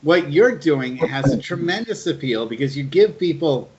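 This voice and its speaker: A man's voice: on the high side, lively intonation, normal speed. A man hosting a casual interview, talking conversationally and asking wordy questions, on muffled lo-fi audio. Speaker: podcast host, interviewer.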